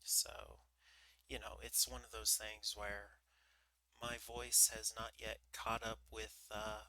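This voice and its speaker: A man's voice: flat delivery, slow pace, creaky, sharp tone. A man who streams book readings, chatting casually in a rambling, self-deprecating way full of fillers. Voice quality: naturally higher voice than he looks. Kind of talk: casual chat during an ad break.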